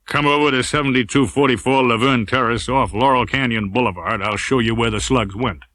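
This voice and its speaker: A man's voice: mid-range voice, brisk, pushed and bright, no echo, close to an everyday voice. A man voicing a cool, unflustered private eye, terse and matter-of-fact, in a crime audiobook.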